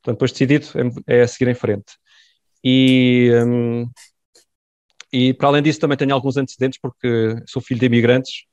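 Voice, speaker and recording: A middle-aged man with a European Portuguese accent, answering interview questions in a measured, hesitant way with many pauses. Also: medium pitch, flat delivery, darkish tone. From born in France, raised in Portugal. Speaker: guest. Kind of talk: conversational interview. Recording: dull dry lo-fi sound.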